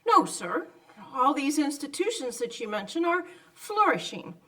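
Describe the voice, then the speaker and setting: A woman's voice: high voice, big pitch swings, a little slow, a bit bright, distant mic, heavily acted. A woman playing a polite, earnest genteel lady raising funds, speaking formally in old-time radio drama style. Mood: earnest, persuasive. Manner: courteous, formal, persistent.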